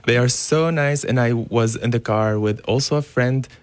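A man's voice: mid-range voice, normal speed, no echo. Earnest young man, dry. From Syria. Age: young adult. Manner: earnest, pleading, many fillers. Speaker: guest, dance teacher. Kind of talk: radio interview. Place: radio studio.

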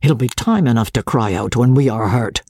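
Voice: medium pitch, moderate pace, a little mellow, breathy edge, no echo, clean studio sound. A narrator voicing a good-natured, loyal male army captain, placid and reassuring, in a period storybook.

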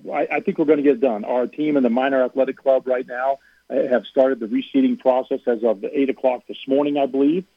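A man's voice: mid-range voice, no echo. A man who is a university athletic director, a guest on a radio show, explaining things at length with many fillers and restarts. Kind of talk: radio interview over the phone. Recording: tinny phone line.

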